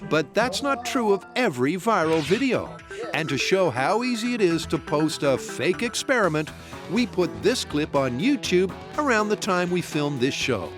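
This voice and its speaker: A man's voice: high-pitched, big pitch swings, normal speed. A man giving scripted documentary narration with a playful, punning delivery. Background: music.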